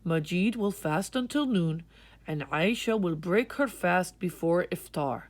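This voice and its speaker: A woman voicing a thoughtful father in a storybook, in a measured manner. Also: lowish voice, expressive. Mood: decisive.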